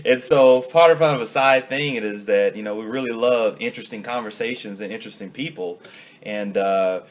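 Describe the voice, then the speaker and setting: Laid-back man hosting a podcast. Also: lowish voice. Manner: relaxed, loose, heavy on fillers. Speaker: podcast host. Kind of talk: casual chat.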